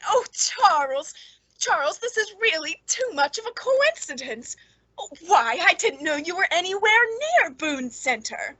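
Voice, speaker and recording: A woman's voice: very high voice, expressive, unhurried, a bit bright, no echo, exaggerated voice. A woman playing a cold, gleeful murderess who narrates and speaks in a theatrical radio suspense drama. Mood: feigned surprise.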